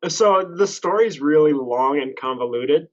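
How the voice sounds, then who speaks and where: A man's voice: fairly high pitch, lively intonation, a little slow. Rambling young man, slightly muffled. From Canada. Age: 20s. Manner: rambling, self-correcting, laughs at himself. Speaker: podcast guest, photographer. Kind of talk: casual chat.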